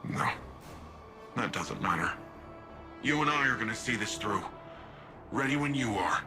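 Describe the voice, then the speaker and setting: An actor playing an earnest, informal sci-fi city defender in a game cutscene, brave and wry but shaken. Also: male voice, lowish voice, animated, measured pace, music in the background. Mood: resolved. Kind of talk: video game cutscene acting.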